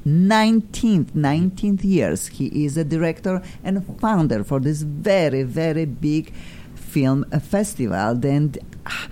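Gushing rambly lady hosting a talk show, mellow voice, faint rumble behind. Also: measured pace, hardly any pauses. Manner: effusive, excitable, rambling. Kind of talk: casual chat show hosting.

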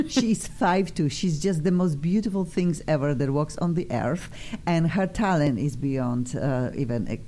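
Effusive low-voiced Polish-accented woman host. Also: animated, unhurried, dark tone, slight background noise. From Poland. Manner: effusive, enthusiastic, gushing praise. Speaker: radio talk show host. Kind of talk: live radio talk show chat. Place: radio studio.

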